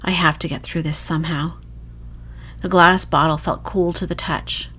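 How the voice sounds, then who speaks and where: A woman reading a first-person horror short story in a dramatic, emotive, hushed storytelling manner. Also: low-pitched, steady tone, moderate pace, slightly rough.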